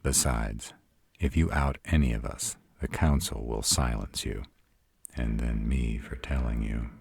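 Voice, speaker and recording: A man's voice: rumbling low, steady tone, unhurried, darkish tone, breathy edge, no room sound, exaggerated voice. A man playing a sarcastic, proud city-bred vampire in a scripted audio roleplay, with dry, sardonic delivery. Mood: warning. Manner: sardonic, dry, rhetorical questioning.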